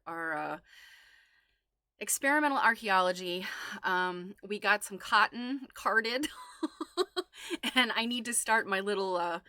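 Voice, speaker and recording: Casual female livestream host, chatty and playful, bright voice, close dry sound. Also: lowish voice, dry recording.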